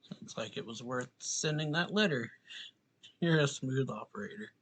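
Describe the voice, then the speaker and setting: A man's voice: medium pitch, expressive, moderate pace, a bit bright, slight background noise, rough recording. A man giving casual, offhand playthrough commentary on a video game, half muttering to himself. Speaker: gamer, video host.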